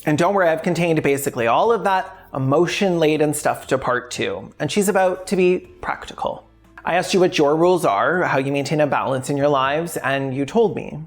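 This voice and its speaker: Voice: mid-range voice, brisk. A video essay host talking in a candid, self-deprecating, wryly jokey way, conversational but scripted. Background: background music.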